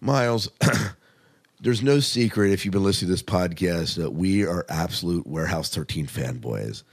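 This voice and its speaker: A man's voice: sits low, lively intonation, normal speed, breathy edge. A man hosting a podcast, chatting casually and enthusiastically as a fan. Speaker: podcast host. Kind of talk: casual fan chat about TV and film.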